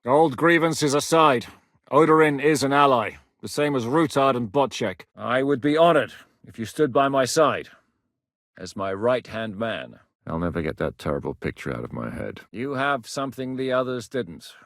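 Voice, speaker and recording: An actor playing a battle-hardened warlord commander who gives blunt, commanding orders and swears freely in the fight, recorded in a dry studio. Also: male voice, mid-range voice, lively intonation, moderate pace, fairly bright tone, no room sound. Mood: grave. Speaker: military commander character. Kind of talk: video game voice lines.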